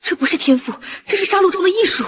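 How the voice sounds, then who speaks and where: An actress playing a proud, earnest young noblewoman warrior in an animated fantasy drama, formal and resolute. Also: very high-pitched, quick pace. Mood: astonished.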